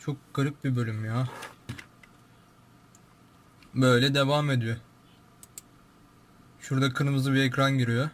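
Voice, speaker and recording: A man's voice: medium pitch, steady tone, a little slow, long pauses. A young man giving casual, informal, exclamatory commentary as a YouTube host, describing what he sees. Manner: informal, exclamatory, narrates what appears on screen.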